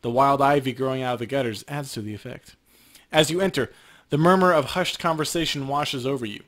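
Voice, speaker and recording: A man with a medium-pitched voice reads video game text aloud as a narrator. He mixes in casual commentary as a let's play co-host. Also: a little fast, fairly bright tone.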